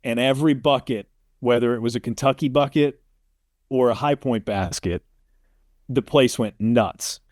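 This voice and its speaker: A man's voice: medium pitch, normal speed, darkish tone, no room sound, studio quality. A man who works as a sports announcer, telling stories informally as an interview guest and often checking in with the listener. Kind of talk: casual interview storytelling.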